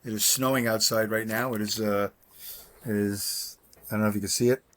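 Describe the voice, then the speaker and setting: A man's voice: fairly low pitch, steady tone, brisk, a bit bright. A man, chatting casually on a video call, rambling with lots of fillers and self-deprecating humor. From Brooklyn, New York. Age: 60s. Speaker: podcast co-host. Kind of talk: casual chat. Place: his home.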